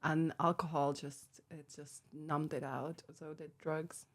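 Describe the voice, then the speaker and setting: A woman's voice: sits low, little pitch movement, takes it slowly. A middle-aged woman from Finland with a Finnish accent, talking frankly about her recovery as a podcast guest and laughing often between phrases. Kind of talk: candid personal recovery story.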